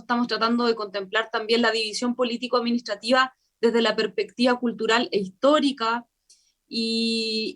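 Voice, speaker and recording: A Chilean woman, a convention member, explaining her work fluently in a radio interview, with frequent filler pauses. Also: fairly high pitch, a bit bright. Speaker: constitutional convention member, guest.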